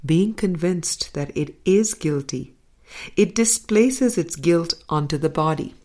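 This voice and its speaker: Slow, low-voiced woman reading softly. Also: lively intonation. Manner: slow, deliberate, soft-spoken with quiet trailing endings. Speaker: reader of a spiritual book. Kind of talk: measured audiobook-style reading with commentary.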